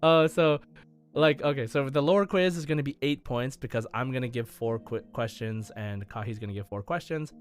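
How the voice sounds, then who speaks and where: A man with a medium-pitched voice hosts a casual gaming podcast. He speaks with enthusiasm, in halting bursts with emphatic stresses, over faint music. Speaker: podcast host and quizmaster.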